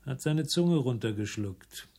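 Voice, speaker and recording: An actor playing a tough, defiant investigator in a radio crime drama, curt, commanding and unflinching. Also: male voice, medium pitch, animated, unhurried, darkish tone, breathy edge. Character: tough, defiant male investigator confronting gangsters.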